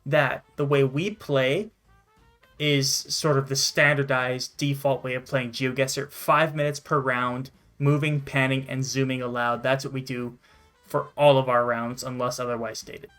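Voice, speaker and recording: Male gaming host, from Canada, mid-pitched voice, casual commentary, swears freely, music in the background. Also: flat delivery, measured pace, fairly bright tone.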